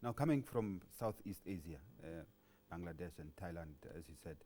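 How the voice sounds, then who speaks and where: Deep, mellow-voiced male interviewer. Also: normal speed, a little hiss or hum. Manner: calm, attentive, frequent fillers. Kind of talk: interview, backchannelling.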